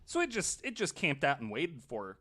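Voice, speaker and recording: A man's voice: a little high, wildly swooping pitch, brisk, bright, forceful tone. A man on a podcast panel chatting casually and dropping in wry quips. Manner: interjects wry pop-culture quips. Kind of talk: casual joking chat.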